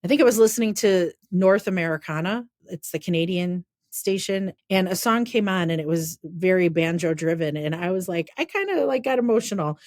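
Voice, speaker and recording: Chatty low-voiced woman co-host. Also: brisk, few pauses, dry recording, studio quality. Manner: lively reactions, chatty, rambling. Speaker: podcast co-host. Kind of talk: casual chat.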